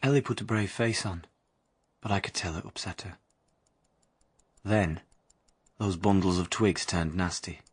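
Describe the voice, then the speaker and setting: An actor playing a brash young working-class husband in a radio drama, blunt and colloquial. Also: male voice, deep voice, measured pace, long pauses, breathy edge. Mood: brooding narration. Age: young adult. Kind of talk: radio drama acting and first-person narration.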